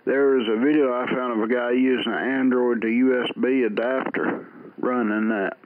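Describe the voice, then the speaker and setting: A man's voice: mid-range voice, fairly even intonation, normal speed. A man chatting casually over CB radio on a handheld microphone. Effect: CB radio transmission. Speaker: CB radio operator. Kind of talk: casual two-way radio chat. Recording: tinny, noisy CB radio transmission.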